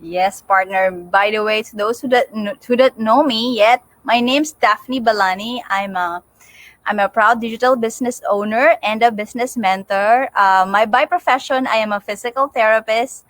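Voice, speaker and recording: A woman with a Filipino accent co-hosts a casual livestream. Her voice sits fairly high and the sound is dry and close. She is chatty and keeps agreeing as she goes. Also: normal speed, fairly bright tone, dry recording. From Philippines. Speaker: co-host of a livestream.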